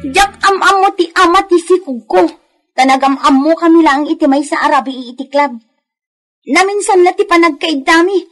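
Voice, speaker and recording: Very high voice, whiny, defiant teenage girl, heartbroken, radio drama. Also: moderate pace, soft background music. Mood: bitter outburst. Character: rebellious, heartbroken teenage daughter, stubborn and defiant.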